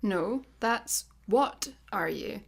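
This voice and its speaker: An actress with a Scottish accent playing a blunt, exasperated satellite worker in a sci-fi comedy radio play. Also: female voice, medium pitch, lively intonation, drawn-out words, vocal fry, slightly rough, full character voice. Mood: incredulous. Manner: blunt, sardonic.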